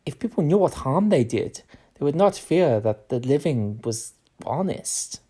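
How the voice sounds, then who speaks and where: A man's voice: medium pitch, big pitch swings, mellow, slightly rough, heavily acted. Grave, moral male professor. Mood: grave disapproval. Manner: formal, measured, disapproving. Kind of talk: period audio drama acting.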